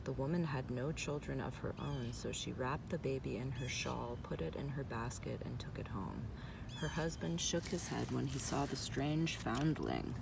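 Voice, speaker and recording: A creaky-voiced reader tells a folktale aloud. The voice sits a little away from the microphone, with clear noise behind it. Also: medium pitch, lively intonation, moderate pace. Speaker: story reader. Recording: noisy, distant microphone.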